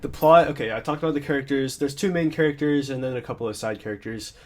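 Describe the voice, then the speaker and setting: A man's voice: medium pitch, fast, a little mellow. Congested young man rambling casually. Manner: rambling, many fillers and restarts. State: congested, slightly stuffy. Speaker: book reviewer. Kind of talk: casual off-the-cuff book review.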